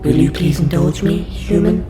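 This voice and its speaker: A man's voice: high voice, noisy background, natural voice. A man voicing a polite, patient alien researcher in an audio roleplay, speaking formally and precisely to a human. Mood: formal, courteous. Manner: formal, precise, courteous, measured. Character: polite, patient male alien scientist abductor interviewing a human. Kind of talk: audio roleplay addressed to the listener. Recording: roomy echo, loud background music bed. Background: music.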